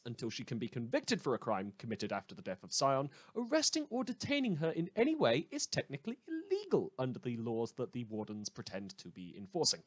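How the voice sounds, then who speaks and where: A man playing a snide radio newsman in a superhero world, with a very animated, swooping pitch and a dry, close sound. He delivers scripted satirical news in an articulate, sardonic way, laying on mock earnestness as he airs his opinions. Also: no echo.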